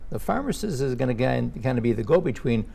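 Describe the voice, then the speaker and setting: Older male host, gravelly, conversational, steers a health chat with questions. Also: mid-range voice, sing-song, brisk, dark tone. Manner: chatty, leading questions with personal asides. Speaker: show host. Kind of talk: casual health interview.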